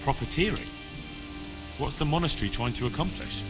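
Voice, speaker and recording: A man's voice: a little high, lively intonation, fairly quick, music in the background. A young man playing a loyal, earnest servant of a medieval lord in a video game, polite and inquisitive. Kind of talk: cinematic game dialogue.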